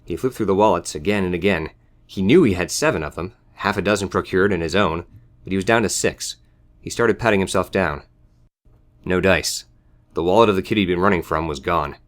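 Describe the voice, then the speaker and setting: A man's voice: deep voice, a little fast. A man reading scripted fiction as an audiobook narrator.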